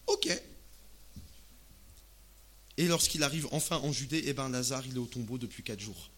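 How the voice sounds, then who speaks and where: A man from Alsace preaching a sermon in casual, expressive, conversational language, often asking rhetorical questions. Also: fairly high pitch, a little hiss or hum. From Alsace, France. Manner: expressive, uses rhetorical questions and acted-out asides.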